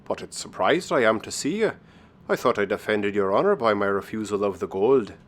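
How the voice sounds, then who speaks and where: A man's voice: fairly low pitch, lively intonation, a little fast, close to an everyday voice. A man voicing a humble, good-hearted Irish village thatcher in a storybook, with an Irish accent and an earnest, hearty manner. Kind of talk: storybook character voice.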